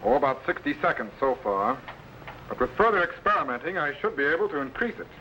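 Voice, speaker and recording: Male space-time explorer: calm authority, crisp measured orders, bright tone, faint hiss of an old serial. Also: on the high side, low-quality recording. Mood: calm, instructive. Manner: instructive, precise, measured commands. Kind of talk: 1950s science fiction serial acting.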